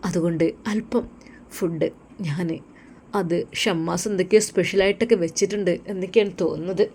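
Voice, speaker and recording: A woman voicing a soft-spoken caring husband. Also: fairly low pitch, a little fast, slightly rough. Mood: tender. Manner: soft, tender, reassuring. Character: gentle caring husband, adult man.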